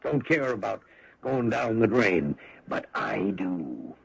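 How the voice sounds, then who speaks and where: An actor playing a hard-nosed, insistent American small-town official in an old radio drama, emphatic and persuasive. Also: male voice, fairly low pitch, very animated, takes it slowly, gravelly, exaggerated voice. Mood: pressing. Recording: dull vintage radio broadcast recording.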